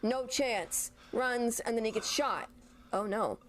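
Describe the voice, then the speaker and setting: Casting: female gaming reactor, fairly high voice, casual off-the-cuff commentary, clearly noisy recording with game sound behind. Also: takes it slowly, a bit bright. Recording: noisy, game audio underneath.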